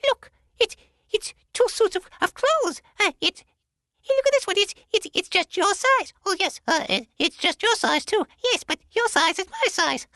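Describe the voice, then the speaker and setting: A tiny, sweet-natured storybook elf with a high, piercing squeak. The little fellow is excitable and stammers, repeating himself as his pitch leaps about. The sound is bright and close. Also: no echo, heavily acted.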